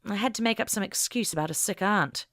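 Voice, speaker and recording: A woman's voice: medium pitch, fast, fairly bright tone, no room sound, clean studio sound, natural voice. A woman voicing an earnest, deferential young female field agent in an audiobook, careful and slightly awkward. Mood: earnest, worried.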